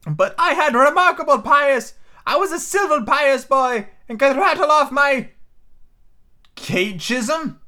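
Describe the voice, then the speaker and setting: A sly, half-crazed old castaway, as a man puts him on in a storybook reading, squeaking high and lurching up and down in pitch. He chatters eagerly in a cracking old-sailor voice, sharp and bright in tone. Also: expressive, measured pace, heavily acted. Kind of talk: amateur read-aloud character voice.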